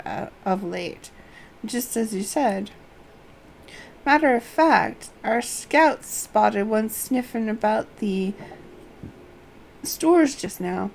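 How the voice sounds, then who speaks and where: Woman playing indignant fantasy-game camp officer: deliberate delivery, lively pitch, slight creak, faint noise behind.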